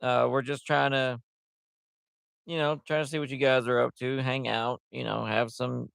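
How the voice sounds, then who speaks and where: Smooth-voiced man chatting on a livestream. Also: mid-range voice, little pitch movement, moderate pace, a bit bright, dry recording. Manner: rambling, loose and chatty, many fillers. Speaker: livestream host. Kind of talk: casual livestream chat. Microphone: headset.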